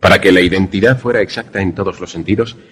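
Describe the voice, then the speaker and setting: A man playing a polite, inquisitive visitor who narrates and converses in a suspense radio play, measured and formal. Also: sits low, fairly bright tone. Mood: measured, suspenseful. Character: inquisitive male visitor narrating an eerie tale, polite, sceptical.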